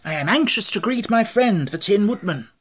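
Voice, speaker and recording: A woman voicing a cheerful, pompous male scarecrow king in a storybook, with a bright and grandly reassuring manner. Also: mid-range voice, animated, normal speed, breathy edge, pitched above the performer's own voice. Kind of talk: audiobook character voice.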